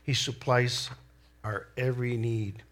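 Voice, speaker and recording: A middle-aged man from El Salvador with a Salvadoran Spanish accent, whose first language is Spanish, delivering a church sermon in an earnest, deliberate way. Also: medium pitch, fairly even intonation, a bit bright. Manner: earnest, slow and deliberate with pauses. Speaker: lay preacher.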